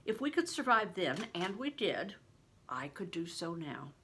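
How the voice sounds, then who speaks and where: An older woman reading a novel aloud in an expressive, deliberate storytelling manner, stressing words for drama. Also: on the low side, slight background noise. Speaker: reader, retired reading teacher. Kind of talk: expressive audiobook-style read-aloud of a novel.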